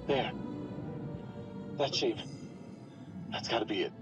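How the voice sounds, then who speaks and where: A man's voice: on the low side, takes it slowly, dull audio, over loud music. An actor playing an awed young male diver in a game cutscene, amazed and casual. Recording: over game score.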